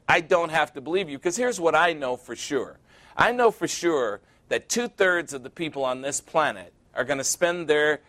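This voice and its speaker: A man in his sixties preaching a sermon, emphatic and repetitive, building to loud peaks. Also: on the high side. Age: 60s.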